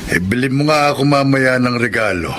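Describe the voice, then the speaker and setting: A man's voice: mid-range voice, a little fast, fairly bright tone, a little hiss or hum, lightly acted. An actor playing a nosy, caring middle-aged Filipino father in a radio drama, folksy and full of advice. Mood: prodding, folksy. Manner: folksy, advising.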